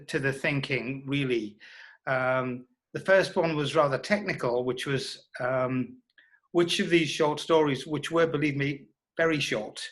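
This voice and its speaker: Thoughtful, halting middle-aged man. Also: on the high side, fairly bright tone. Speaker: interviewed writer who adapted his father's stories. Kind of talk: reflective interview talk.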